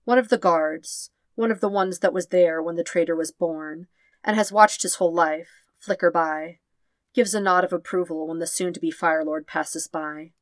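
A woman narrates a dramatic story in a fairly low voice. She delivers it in measured, short phrases as a scripted reading. The sound is dry, with no room around it. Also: steady tone, dry recording. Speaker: podfic narrator. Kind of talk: scripted narration of fan fiction.

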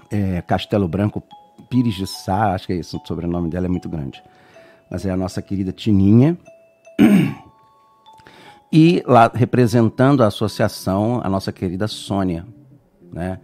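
A man's voice: on the low side, mellow. A man hosting a live radio program, talking in an effusive, rambling way full of restarts. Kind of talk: warm live radio presenting.

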